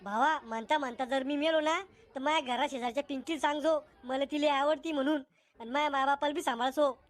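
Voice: high voice, steady tone, fast talker, close to an everyday voice. A voice actor playing a cheeky, boastful village schoolboy.

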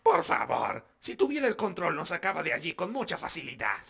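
Man voicing a high, mocking animatronic clown. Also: wildly swooping pitch, brisk, creaky, exaggerated voice. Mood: sarcastic. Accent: Latin American. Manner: sarcastic, taunting. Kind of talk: amateur animated fandub acting. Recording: loud music under the voice.

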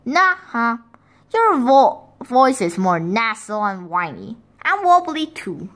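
A woman voicing a bunch of small, excitable chicks in a storybook read-aloud, squealing and excited. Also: a little high, slow, full character voice.

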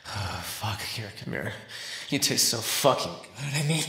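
A man's voice: lowish voice, animated, vocal fry, roomy sound, noisy background. A man playing a starving captive vampire in an ASMR roleplay, sardonic and desperate, rambling and swearing through a dry throat. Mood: frantic, dazed. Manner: wry, rambling, sweary, halting.

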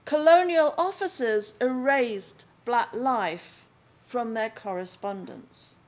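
An older woman reads her own prose aloud at a lectern microphone, taking it slowly and deliberately, phrase by phrase, with pauses between. Her voice sits a little high for a woman and stays unhurried throughout. The sound is of a lecture room indoors. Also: a little mellow. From England. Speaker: author reading from her book. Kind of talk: slow measured reading aloud at a lectern.

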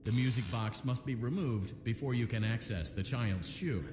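An actor playing a calm, upbeat male voice that gives repair instructions in a video game. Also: medium pitch, normal speed, lightly acted. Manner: calm, upbeat corporate training tone. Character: friendly male corporate repair-training voice. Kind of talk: scripted game voice-over instructions. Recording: echoey reverb with game music behind.

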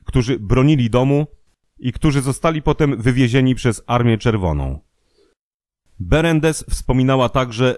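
Guy doing formal documentary narration, average pitch, really dry recording. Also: darkish tone, dry recording.

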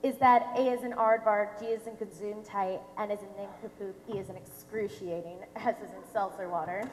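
A woman's voice: mid-range voice, steady tone, darkish tone, natural voice. A young woman playing a smug, pedantic, obstructive government clerk in a comic stage play. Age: teenager or young adult. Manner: pedantic, drawn-out deliberate delivery. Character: smug, officious, obstructive female DMV clerk. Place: theatre stage, recorded from a distance. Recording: echoey hall, noisy stage recording.

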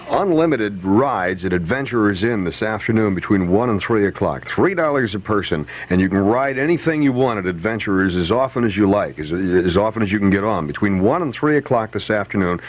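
A man's voice: lowish voice, animated, brisk. A man working as a radio DJ, chatting breezily through weather and announcements with conversational asides on a 1970s aircheck. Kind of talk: casual live radio DJ patter with weather and announcements. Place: radio studio. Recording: dull 1970s AM radio aircheck, music behind.

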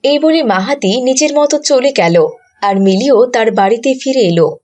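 A woman's voice: fairly high pitch, animated, moderate pace, darkish tone. A woman giving scripted storybook narration for a cartoon.

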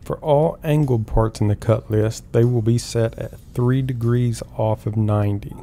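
A middle-aged man calmly explaining woodworking steps one at a time in an instructional voice-over. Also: mid-range voice, measured pace, a little hiss or hum. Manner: calm, step-by-step explaining. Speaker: woodworking host.

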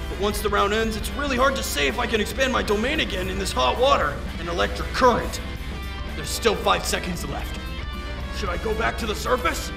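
A man playing a brash, reckless, cocky young gambler and fighter in an anime dub style, with excitable delivery. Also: high-pitched, gravelly. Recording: dramatic music behind the voice.

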